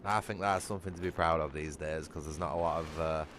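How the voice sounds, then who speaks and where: A man's voice: very deep voice, fairly even intonation, fast, fairly bright tone, a little hiss or hum. A man with a Manchester accent chatting bluntly and swearing while streaming a game. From England. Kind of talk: casual livestream gaming chat.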